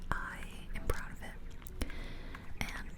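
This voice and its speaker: Female ASMR host, fully whispered and breathy, close-miked, for gentle, casual game commentary. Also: takes it slowly. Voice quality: soft, breathy unvoiced whisper. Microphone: close ear-to-ear ASMR microphone.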